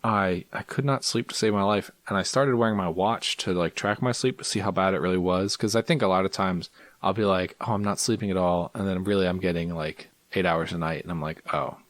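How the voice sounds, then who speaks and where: A young man co-hosting a casual movie podcast, animated and jokey, often poking fun at himself. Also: sits low, a little fast, dry recording. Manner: animated, jokey, self-deprecating. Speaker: podcast co-host.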